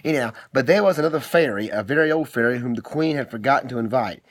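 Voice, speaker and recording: A middle-aged man with a fairly low voice for storytelling reads aloud to his child. He is theatrical and teasing, and keeps interrupting himself with asides. The homemade recording has faint noise behind him. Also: lively intonation, quick pace. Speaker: father telling a bedtime-style story.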